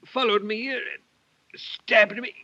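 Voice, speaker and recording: A man's voice: high-pitched, fairly even intonation, measured pace, sharp tone, no room sound. An actor playing a stabbed man gasping out a last warning in a 1950s radio drama, strained and dying. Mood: agonized. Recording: muffled old radio recording.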